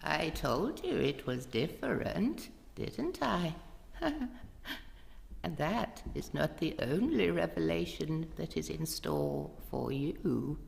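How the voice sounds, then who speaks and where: A woman's voice: low-pitched, sing-song, slow, gravelly, exaggerated voice. An actress playing a sinister, teasing, knowing woman in a live radio play, drawling with relish. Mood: sinister amusement. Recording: reverberant stage hall.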